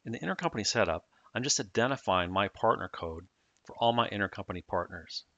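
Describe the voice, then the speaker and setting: Male software demo narrator, lowish pitch, crisp forward tone, plain step-by-step delivery. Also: animated, quick pace, dry recording, lo-fi sound. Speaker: software demonstrator. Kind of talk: scripted tutorial narration.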